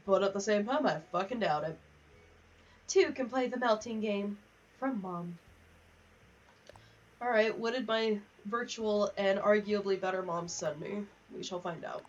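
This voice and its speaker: Female game streamer, mid-pitched, wry and sweary casual chatter, slightly distant, muffled home mic. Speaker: livestream host. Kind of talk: casual gaming livestream chat, reading in-game letters aloud. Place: home office.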